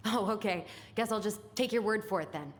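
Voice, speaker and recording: A woman's voice: mid-range voice, brisk, a little hiss or hum, close to an everyday voice. An actress playing a determined, wounded young woman searching for answers in a video game, speaking earnestly and directly. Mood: frustrated, hurt. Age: young adult. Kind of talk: naturalistic video game acting.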